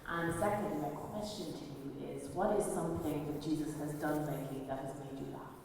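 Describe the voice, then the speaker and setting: A woman's voice: low-pitched, fairly quick, a little mellow, a little hiss or hum. A woman in the audience asking a question, grateful and hesitant, with filler sounds. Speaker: audience member. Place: large church hall. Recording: distant, echoey church room.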